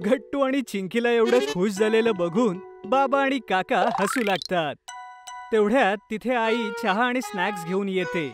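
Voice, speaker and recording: Casting: female storyteller, scripted kids' tale narration, mid pitch, music bed. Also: normal speed. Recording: music under the voice.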